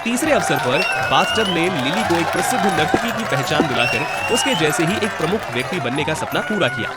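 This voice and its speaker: A man's voice: a little high, animated, fairly quick, a loud crowd. A man narrating a scripted fairy tale as a storyteller. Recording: loud music bed under the voice. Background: music.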